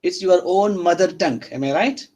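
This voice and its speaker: A man lecturing a university class in a fairly high voice. He is a non-native English speaker who restarts and repeats phrases, often stopping to put questions to his students. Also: moderate pace, a little mellow. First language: not Arabic or English.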